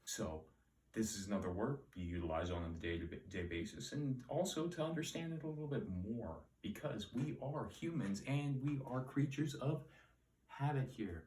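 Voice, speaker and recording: A man's voice: medium pitch, normal speed, a little mellow, recorded from a distance, slight background noise. A man with a soothing voice explains where a word comes from, in a loose and chatty way that drifts into asides and pleas for support. He sounds set back from the mic. The room around him is audibly roomy. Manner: chatty, rambling, self-promoting asides. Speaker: host of a Latin word-origin channel. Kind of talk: casual etymology explainer.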